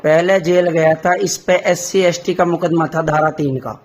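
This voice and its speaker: Insistent man with a higher-pitched voice. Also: steady tone, moderate pace. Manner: insistent, argumentative, repeats himself for emphasis. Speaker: caller on a recorded phone call. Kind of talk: heated phone conversation about local politics.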